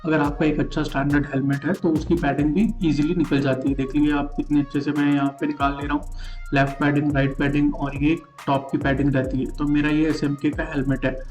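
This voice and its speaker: Casting: Indian male vlogger, higher-pitched but monotone, chatty how-to delivery, roomy sound with music underneath. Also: fast. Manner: chatty, step-by-step explaining, mixes in English words. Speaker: vlogger and product reviewer. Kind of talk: casual unboxing and installation walkthrough. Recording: roomy echo with music behind. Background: background music.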